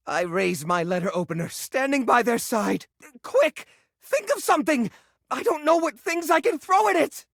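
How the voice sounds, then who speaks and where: A woman voicing an eager, bookish, nervous but brave healer in a video game, speaking in an earnest, excitable way. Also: on the low side, creaky, slightly rough, no echo, clean studio sound, full character voice. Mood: anxious. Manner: earnest, excitable, wholesome exclamations. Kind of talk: video game character acting, tabletop role-play.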